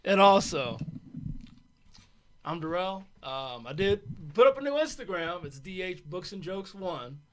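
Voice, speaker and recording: A man hosting a radio show in a studio, upbeat and quick to laugh in casual chat. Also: high voice, big pitch swings, fairly bright tone. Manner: laughs often, upbeat and hyped.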